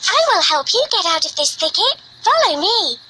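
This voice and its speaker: A woman's voice: very high voice, very animated, moderate pace, bright, forceful tone, full character voice. A woman playing a small, helpful woodland animal in a children's fairy tale, eager and friendly.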